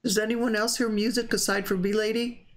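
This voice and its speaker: A woman's voice: fairly high pitch, flat delivery, fairly quick. A woman hosting a live stream, chatting casually and reading out viewer comments in a teasing, folksy way.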